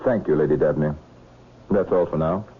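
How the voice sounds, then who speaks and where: An actor playing a probing police inspector in a radio drama, terse and matter-of-fact, courteous but persistent. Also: male voice, extremely low pitch, normal speed. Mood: pressing, dry. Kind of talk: 1970s radio drama acting. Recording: muffled lo-fi old radio broadcast, hiss.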